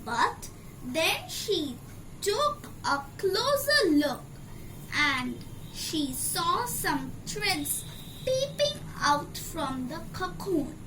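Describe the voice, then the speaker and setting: A woman reading a children's story aloud in a deliberate, phrase-by-phrase storytelling delivery. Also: very high-pitched, sing-song. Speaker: storybook reader. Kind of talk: scripted storybook narration for children. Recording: clearly noisy amateur recording.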